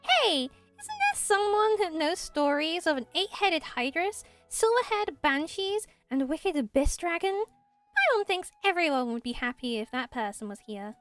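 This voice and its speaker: A woman's voice: extremely high pitch, sing-song, normal speed, a bit bright, soft background music, heavily acted. A woman voicing a tiny floating fairy companion in a game, cheeky and indignant in manner.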